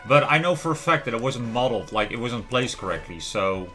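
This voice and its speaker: A man's voice: medium pitch, animated, brisk, bright, forceful tone. A man livestreaming a video game in casual commentary, musing aloud and often trailing off to question himself. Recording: music playing behind the voice. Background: lo-fi music, keyboard typing and clicks.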